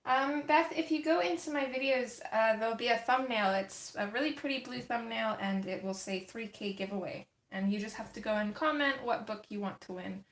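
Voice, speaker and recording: A woman hosting a casual livestream, chatting with viewers as she colors, her voice a little gravelly from just waking up. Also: a little high, moderate pace, few pauses, echoey room. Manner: chatty, reads and answers chat comments. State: slightly gravelly morning voice. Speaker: livestream host.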